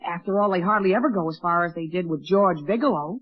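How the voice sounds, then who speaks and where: A man as a high, nagging teenage boy sidekick. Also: fast, close to an everyday voice. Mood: breezily confident. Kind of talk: 1940s radio comedy acting. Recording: muffled vintage radio recording.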